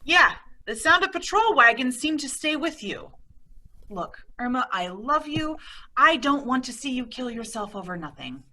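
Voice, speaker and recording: A woman playing a sensible, exasperated young woman with a wry, brisk manner in an old-time radio comedy. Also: high voice, big pitch swings, sharp tone, heavily acted.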